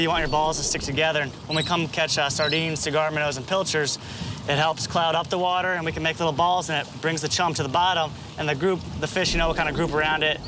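A man's voice: a little high, background noise. A young man, a boat captain, casually explaining things outdoors on an open boat. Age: young adult. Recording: outdoor boat audio with music.

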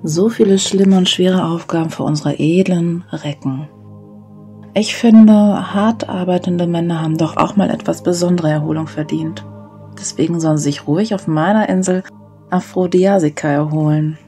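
A woman's voice: lowish voice, moderate pace, warm tone, faint music behind, lightly acted. A woman playing a playful, seductive goddess of love in an audio drama, with a teasing manner.

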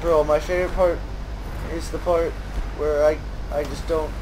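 High-pitched sarcastic man commentating on a game. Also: little pitch movement, normal speed. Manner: sarcastic mock amazement, repetitive, slangy. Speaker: gamer commentating on his playthrough. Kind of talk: mocking gameplay commentary. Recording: noisy, game audio behind voice.

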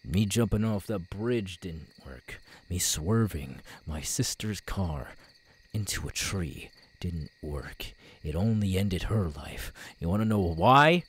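A man plays a tormented immortal killer in an ASMR audio drama. The voice is very low and rough, with slow, brooding, bitter delivery that swings widely in pitch. He sounds menacing and on the edge of madness. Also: expressive, exaggerated voice. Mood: bitter, despairing. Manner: halting, brooding, bursting into anguished shouts. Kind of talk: ASMR audio roleplay acting.

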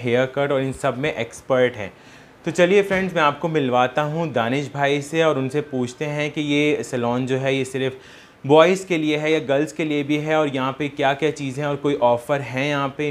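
A young man talks straight to his viewers, friendly and chatty, in a casual vlog. He films himself on a phone camera inside a barber salon, and a faint hum of the room sits under his voice. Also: medium pitch. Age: young adult. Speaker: vlogger and host. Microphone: phone camera at arm's length.

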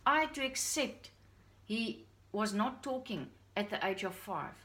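A woman's voice: a little high, lively intonation, measured pace, bright, forceful tone. A middle-aged woman with a Dutch accent speaks to the camera from her living room. She talks in an earnest, confiding way, sharing her thoughts as a parent vlogger. The voice is picked up by a camera a short way off. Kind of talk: personal talk to camera. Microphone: camera on a stand at a short distance.

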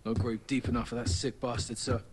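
An actor playing an intense, eager army sergeant in a military video game. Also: male voice, medium pitch, steady tone, fairly quick, lightly acted. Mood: intense, restrained. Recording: game audio with loud noise.

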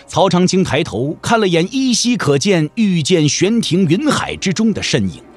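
A male narrator tells a wuxia story in scripted, dramatic style. His pitch is a little high and moves over a very wide range. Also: sing-song, normal speed, soft background music.

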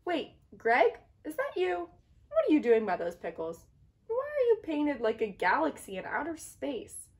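Woman acting anxious, bossy little egg, high and animated. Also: unhurried, heavily acted. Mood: determined, puzzled. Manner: dramatic, fretful, commanding, expressive. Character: anxious, bossy, earnest young egg leading his friends. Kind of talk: animated picture-book read-aloud.

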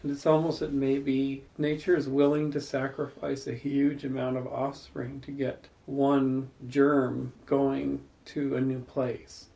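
Gravelly, mellow-voiced man telling stories. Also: mid-range voice, completely flat delivery, slow pace, few pauses, rough recording. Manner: thoughtful, rambling, builds long spoken stories. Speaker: salon host. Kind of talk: reflective storytelling in casual group talk.

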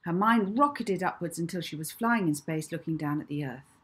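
A woman in her fifties reading her own children's book aloud as an expressive, deliberate storyteller. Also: on the low side, breathy edge. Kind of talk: children's audiobook narration. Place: small indoor room at home.

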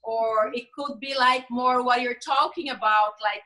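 A woman with a Brazilian Portuguese accent, a lawyer and coach, speaking carefully in an interview, with many pauses and fillers. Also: a little high, steady tone, sharp tone. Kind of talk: interview over video call.

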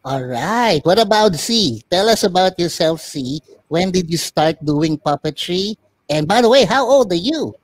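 A chatty boy puppet host, voiced by a man, asks curious questions in an encouraging way. The pitch sits rather high and swings around a lot, and the sound is dry and close. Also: expressive, a little slow, no echo. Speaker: puppet show host, interviewer. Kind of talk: casual interviewing.

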